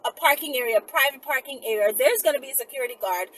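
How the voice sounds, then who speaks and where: Animated high-voiced woman, distant noisy recording. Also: racing. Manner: animated, emphatic, sweary. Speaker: channel host. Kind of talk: casual self-defense storytelling, acted-out scenario. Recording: distant mic with clear background noise.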